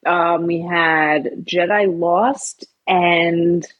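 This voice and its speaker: A woman's voice: lowish voice, steady tone, drawn-out words, highs cut off. A woman co-hosting a podcast, speaking thoughtfully and hesitantly with many ums. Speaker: podcast co-host. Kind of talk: casual podcast discussion.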